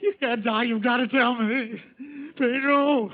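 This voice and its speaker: A man's voice: extremely high pitch, steady tone, measured pace. A man playing an anxious, scheming thief with an urgent, questioning manner in 1940s radio drama style. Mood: desperate. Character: anxious, scheming thief Herbert, desperate for hidden money. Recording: muffled old radio recording.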